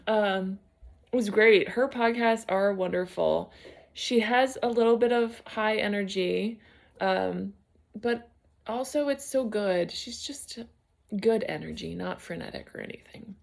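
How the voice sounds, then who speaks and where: Woman, home vlogger, gravelly fry, mid pitch, rambling and unfocused. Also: a little slow. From United States. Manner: rambling, searching for words, many fillers and restarts. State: concussed, a little unfocused. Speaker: knitting vlogger. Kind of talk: casual solo vlog chat.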